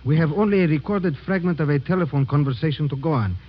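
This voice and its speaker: A man's voice: on the high side, lightly acted. An actor playing a stern, precise, formal male police lieutenant in an old radio drama. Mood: formal, grave. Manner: brisk, officious, precise formal phrasing. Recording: dull, noisy old radio recording.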